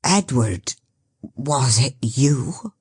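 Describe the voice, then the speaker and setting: A woman doing a grave, low-voiced carrier. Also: expressive, extremely slow, a little mellow, breathy edge, no echo, studio quality, heavily acted. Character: stern grown man, a wounded carrier.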